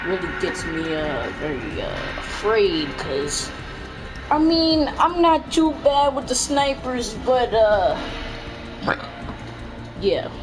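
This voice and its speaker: Slow rambling gamer woman, husky voice. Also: medium pitch, rough recording. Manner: rambling, self-mocking, laughs often. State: losing her voice. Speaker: gameplay commentator. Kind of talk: casual gaming commentary. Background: game music and sounds.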